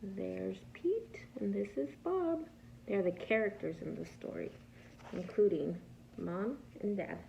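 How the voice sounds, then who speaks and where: A woman preschool teacher reading a picture book aloud to young children in a patient, teacherly way, pausing to ask simple questions. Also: mid-range voice, animated, gravelly, lo-fi sound, background noise.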